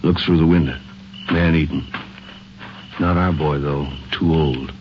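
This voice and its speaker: Noisy vintage radio drama; easygoing ranger partner, low voice, folksy and sparing with words. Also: male voice, steady tone, measured pace, dull audio. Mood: wry, watchful. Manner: laconic, folksy. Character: laconic, easygoing male ranger sidekick on horseback. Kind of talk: 1950s radio drama acting.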